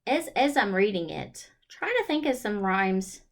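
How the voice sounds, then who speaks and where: A woman hosting a storytime for young children, talking in an encouraging, exaggerated child-directed way with questions and pauses. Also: mid-range voice, very animated, gravelly.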